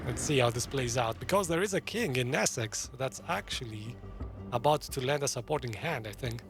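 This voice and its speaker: A man's voice: mid-range voice, animated, normal speed, over music. A man giving casual gameplay commentary as a gaming streamer.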